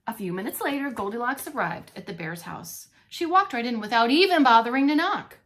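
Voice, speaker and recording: A woman's voice: a little high, animated, brisk, fairly bright tone, slight background noise. A woman reading a story aloud to young children as a preschool teacher, expressively and with playful asides. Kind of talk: expressive children's read-along narration.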